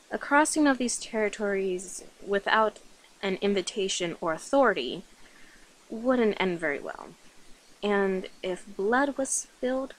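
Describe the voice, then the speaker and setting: Slow-talking, coy vampire woman acted by a woman. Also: mid-range voice, slight background noise, close to an everyday voice, poor audio. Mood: serious, puzzled. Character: centuries-old female vampire, coy, confiding to a human lover. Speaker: ASMR roleplay performer. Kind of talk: ASMR roleplay, addressed to the listener.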